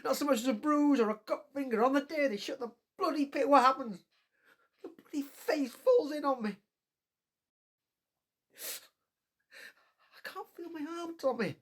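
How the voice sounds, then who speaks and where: A woman playing an anxious young male miner in a radio play, blunt and wry, with a husky voice and a Northern English accent. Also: high voice, animated, fairly quick. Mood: bitter, alarmed. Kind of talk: radio drama acting.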